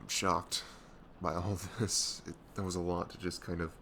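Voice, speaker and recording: A man playing a tender, nervous best friend in an intimate ASMR roleplay, speaking softly and hesitantly in a low, gravelly voice close to the mic. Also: level tone, unhurried, slight background noise. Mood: apologetic, awkward. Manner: hesitant, stammering, soft-spoken.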